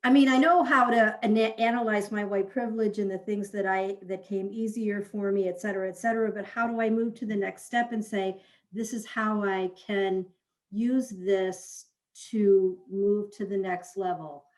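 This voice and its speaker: A woman's voice: mid-range voice, fairly even intonation, normal speed, low-quality recording. A woman taking part in a group training, thinking aloud hesitantly and restarting her sentences, speaking over a video call. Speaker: training participant. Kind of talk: reflective group discussion.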